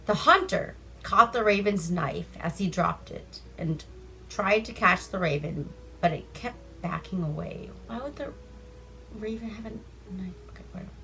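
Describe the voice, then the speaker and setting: A woman's voice: mid-range voice, measured pace, gravelly, bright, forceful tone, slight background noise, low-quality recording. A woman from Alaska telling folk tales in a read-aloud style, with an irreverent, chatty manner. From Seward Peninsula, Alaska. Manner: irreverent, chatty, breaks off to comment. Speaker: storyteller reading bedtime stories. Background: music.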